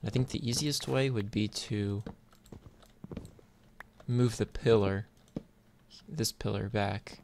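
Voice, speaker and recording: Low-pitched man doing casual gameplay commentary. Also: steady tone, takes it slowly, pauses often, a little mellow. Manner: thinking aloud, informal. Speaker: host of a gaming video series. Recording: clear background noise, keyboard clicks. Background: computer keyboard and clicking.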